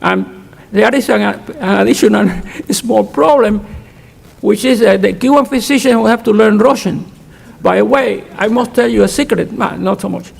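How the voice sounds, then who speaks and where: A man's voice: high voice, normal speed, gravelly, slightly rough. An older man on a conference panel, answering audience questions in a frank, emphatic way and restarting words as he goes. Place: conference hall. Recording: reverberant hall.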